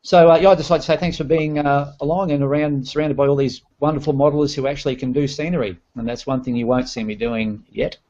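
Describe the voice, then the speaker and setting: A man's voice: a little high, fairly even intonation, fast, few pauses, darkish tone. A man with a Scottish accent introducing himself on a video call, chatty and self-deprecating. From Scotland. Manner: chatty, self-deprecating, laughing between phrases. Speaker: guest modeler. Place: room by a window. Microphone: webcam on a video call. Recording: muffled video-call audio.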